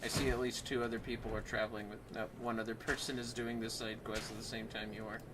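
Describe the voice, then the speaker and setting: A man's voice: mid-range voice, level tone, quick pace, noisy background. A man casually commentating on a video game as a livestream co-host. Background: game music.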